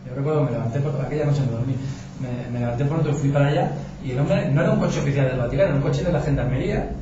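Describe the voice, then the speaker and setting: A journalist from giving an informal talk to an audience, colloquial and anecdotal, with frequent restarts. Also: male voice, mid-range voice, very fast. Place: meeting room with audience. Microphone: distant room mic. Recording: echoey, distant, dull with noise.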